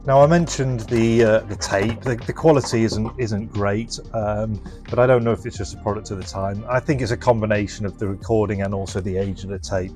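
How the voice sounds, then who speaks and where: A man's voice: lowish voice, fairly even intonation, brisk, a little mellow, faint music behind. A man casually presenting a hands-on product demonstration, explaining informally and thinking aloud as he goes. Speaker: presenter and reseller of restored retro electronics.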